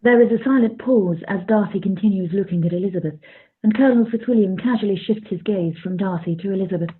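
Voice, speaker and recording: A woman's voice: medium pitch, quick pace, husky, natural voice. A woman narrating a scripted period radio play as a neutral storyteller, on a tinny recording.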